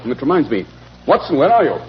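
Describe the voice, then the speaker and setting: An actor playing a clever, self-assured English gentleman detective with a British accent, explaining with smug authority in a 1940s radio drama. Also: fairly high pitch, wildly swooping pitch, fast talker. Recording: dull, muffled 1940s radio recording.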